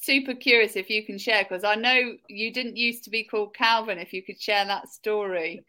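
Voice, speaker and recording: A woman's voice: mid-range voice, normal speed, sharp tone. A woman hosting a podcast, interviewing her guest with enthusiastic, affirming remarks. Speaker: podcast host.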